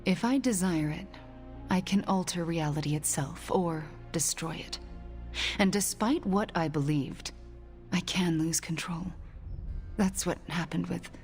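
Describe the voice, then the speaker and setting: A young sorceress speaks in a low, soft voice that turns breathy at the ends of phrases. She is brooding and earnest, weighed down by guilt yet determined. It is game cutscene drama with music underneath. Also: unhurried. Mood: solemn. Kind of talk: video game cutscene acting.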